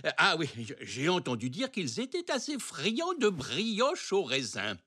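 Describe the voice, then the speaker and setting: Old grandpa zebra, kind, slow n pondering, voice goes up and down loads. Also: male voice, fairly high pitch, huge pitch swings, sharp tone, slightly rough, heavily acted. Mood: thoughtful. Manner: hesitant, pondering. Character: kindly, thoughtful grandfather zebra, elderly male. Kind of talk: French children's cartoon dialogue. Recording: music behind the voice.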